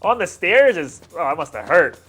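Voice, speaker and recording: A man's voice: fairly high pitch, big pitch swings, normal speed, a bit bright. A man hosting a reaction video, commenting on fight scenes in casual slang with hyped exclamations. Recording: music behind the voice.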